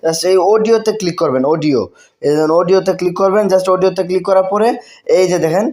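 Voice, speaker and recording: A man's voice: high-pitched, a little fast, a little mellow. A man with a Bangladeshi accent hosting a casual tech tutorial, repeating phrases and mixing in English words. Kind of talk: casual spoken screen-recording tutorial.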